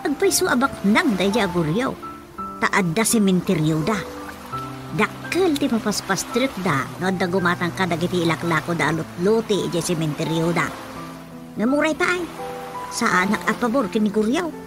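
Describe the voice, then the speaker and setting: A woman's voice: mid-range voice, big pitch swings, normal speed, natural voice. A woman with an Ilocano accent playing a middle-aged mother in a radio drama, nagging and teasing. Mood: lecturing. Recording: radio drama with music underneath.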